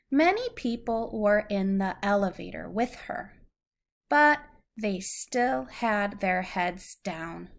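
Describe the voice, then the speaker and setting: Very slow, patient woman reading aloud. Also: medium pitch, creaky, a bit bright. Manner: patient, simple teacherly phrasing. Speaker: adult reading a story aloud to a young child. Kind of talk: slow read-aloud storytelling with simple explanations to a child.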